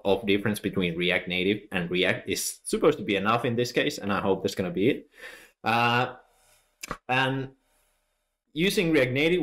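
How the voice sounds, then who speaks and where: A man's voice: on the low side, fairly bright tone. A man from Finland with a Finnish accent, giving a technical conference talk in a friendly, explaining way with many "uh" fillers. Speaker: conference speaker.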